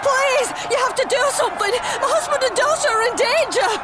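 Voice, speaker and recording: A woman's voice: extremely high pitch, brisk, a loud crowd, very noisy. An actress playing an earnest, anxious, proper mother in a video game cutscene. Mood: pleading, desperate.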